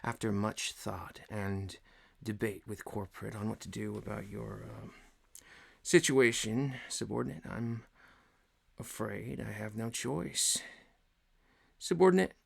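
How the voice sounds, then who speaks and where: A man playing a stern, pompous office boss in an ASMR roleplay, speaking formally and deliberately with theatrical pauses. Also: fairly low pitch, vocal fry, slightly rough, exaggerated voice. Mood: stern, solemn. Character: stern, pompous male office boss, mock-solemn, paternal. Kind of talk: scripted ASMR roleplay monologue.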